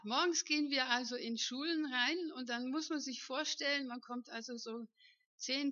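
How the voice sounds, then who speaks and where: A woman's voice: a little high, bright, forceful tone, no room sound, muffled recording. A woman in her fifties who grew up in northern Germany, a missionary giving a chatty personal testimony to a church congregation and often checking that the room agrees. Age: 50s. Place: church hall.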